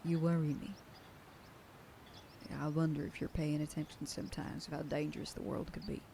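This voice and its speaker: A protective werewolf sheriff girlfriend, voiced by a woman scolds in a soft, half-whispered ASMR manner. Her voice is dark and gravelly. A faint hiss sits under the recording. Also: low voice. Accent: Western US. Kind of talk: ASMR roleplay.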